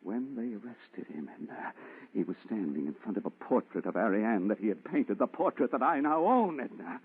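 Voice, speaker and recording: A man's voice: lowish voice, big pitch swings, moderate pace, slightly rough. A man acting a haunted, agitated man in an old-time radio horror play, dramatic and stammering, swinging from brooding to frantic. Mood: urgent, obsessive. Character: haunted, agitated man obsessed with a ghostly woman. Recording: muffled, distorted vintage radio recording.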